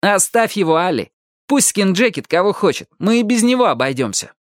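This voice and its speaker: A woman's voice: low voice, very animated, a little fast, dry recording, studio quality. A woman voicing a sulky, jealous teenage boy in an audiobook, grumbling and sarcastic. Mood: irritated. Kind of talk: audiobook character voice.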